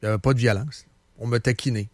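A man's voice: medium pitch, fairly even intonation, fast, dry recording. Man, Quebec French accent, talk radio host, chatty storyteller, dry studio sound. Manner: rambling, storytelling, many fillers. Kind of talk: casual talk radio chat.

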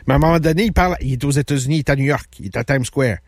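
A man with a Québécois accent, hosting a radio show and telling stories in animated bursts. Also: mid-range voice, no echo, clean studio sound. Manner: animated storyteller, quick bursts. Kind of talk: casual chat.

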